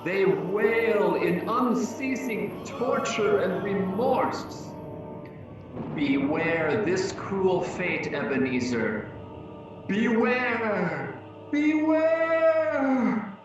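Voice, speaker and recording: A man playing a tormented ghost in a dramatic reading, giving ominous warnings in a slow, theatrical wail. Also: high-pitched, animated, full character voice. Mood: anguished warning. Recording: echoing, distant voice with music.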